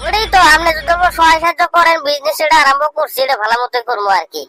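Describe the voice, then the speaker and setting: Woman acting high, rapid young cartoon schemer. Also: expressive. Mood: scheming, bickering. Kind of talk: cartoon comedy dialogue. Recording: some background noise.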